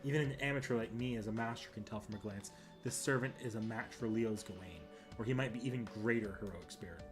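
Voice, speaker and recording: A man's voice: fairly low pitch, quick pace. A man reading a game's story narration aloud, with music playing behind him. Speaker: game narrator, club member.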